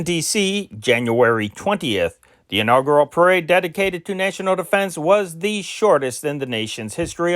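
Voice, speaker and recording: A man reading old newspaper dispatches aloud as an amateur newsreader, steady and deliberate, with occasional slips he corrects. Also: on the high side.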